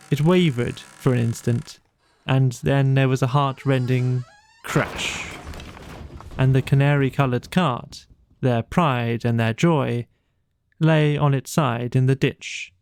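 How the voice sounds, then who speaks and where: A man narrating a bedtime storybook in a measured, expressive storytelling manner. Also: mid-range voice, gravelly. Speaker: audiobook narrator.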